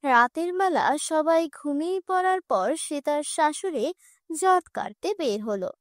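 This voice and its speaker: A woman storyteller with a very high, youthful-pitched voice narrates a scripted cartoon folk tale. The recording is dry and close, with no room sound. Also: lively intonation, measured pace, no room sound.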